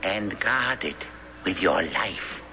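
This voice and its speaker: Theatrical, agitated eccentric, swooping pitch. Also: male voice, animated, exaggerated voice. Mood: persuasive, mysterious. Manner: theatrical, halting, insistent. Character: hunted, eccentric Englishman, agitated yet slyly persuasive. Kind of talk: 1970s radio drama acting. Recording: dull, noisy old radio broadcast.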